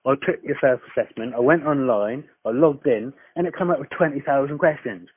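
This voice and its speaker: A man's voice: medium pitch, a little fast, a little hiss or hum. Insistent, blunt man on a dull recording. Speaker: caller disputing a tax account. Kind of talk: argumentative phone complaint. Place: phone call, recorded. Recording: dry, dull lo-fi phone call recording.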